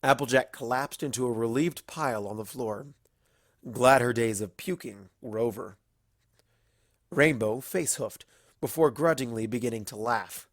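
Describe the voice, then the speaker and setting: A narrator reading a fan-fiction story aloud in an expressive storybook style. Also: mid-range voice, normal speed, dry recording, studio quality.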